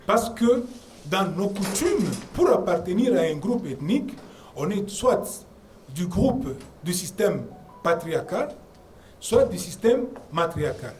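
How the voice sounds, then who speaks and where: A man addresses a debate audience in an emphatic, lecturing way, his fairly high voice swinging widely up and down. His tone is mellow rather than bright, and he is picked up from some distance, with a faint hum of the room behind him. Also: big pitch swings, takes it slowly. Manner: emphatic, lecturing, with insistent repeated words. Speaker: conference debate speaker. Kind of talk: argued public talk on the constitution. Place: conference room.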